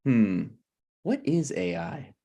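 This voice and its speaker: A man's voice: lowish voice, sing-song, takes it slowly, mellow, full character voice. A man playing a stubborn, snarky broke writer with dry, needling delivery in a comedy table read. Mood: sheepish, dry.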